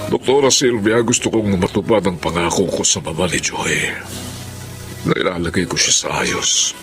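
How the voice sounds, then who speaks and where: An actor playing an older, ailing Filipino father who is secretive and devoted, speaking haltingly in a drama. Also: low voice, close to an everyday voice. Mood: heavy-hearted. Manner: halting, exclaiming, repeats words. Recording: music behind the dialogue.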